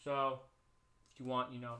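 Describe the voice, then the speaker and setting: Male streamer, average pitch, informal storytelling host who rambles and doubles back on his sentences. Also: monotone, normal speed, bright, forceful tone. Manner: rambling, repeats and restarts phrases. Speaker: livestream host. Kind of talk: casual livestream storytelling. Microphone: streaming microphone he adjusts by hand.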